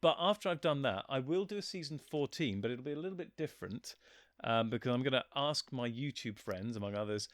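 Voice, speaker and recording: A man's voice: medium pitch, lively intonation, fairly quick, no room sound, clean studio sound. A man speaking casually as a writer being interviewed, in an informal conversational way. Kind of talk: casual chat.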